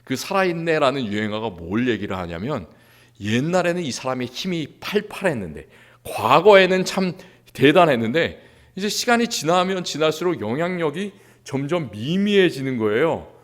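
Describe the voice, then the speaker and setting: A male pastor from Seoul delivers a sermon in a church sanctuary. His pitch moves in wide, lively swings, climbing into emphatic stretches before falling back to quiet, earnest lines. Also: normal speed. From Seoul, South Korea.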